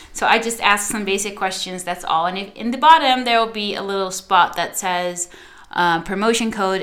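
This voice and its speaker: A woman's voice: medium pitch, sharp tone. A young woman from the Netherlands whose first language is Dutch, hosting her own podcast in a chatty, casual way and talking straight to her listeners. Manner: chatty, addresses listeners directly, many fillers. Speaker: podcast host and social media coach. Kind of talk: casual solo podcast monologue.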